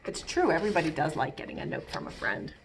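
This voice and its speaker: A woman's voice: sits low, huge pitch swings, fast talker, background noise. A woman reading a picture book aloud to young children as a storytime librarian, expressively, with simple asides explaining words and pictures.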